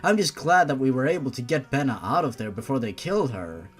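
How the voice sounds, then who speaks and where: Animated man reading a story, mockingly. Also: mid-range voice, a little fast. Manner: exasperated, mocking, swearing asides. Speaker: host reading fan fiction aloud with commentary. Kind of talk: story reading with sarcastic asides. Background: background music.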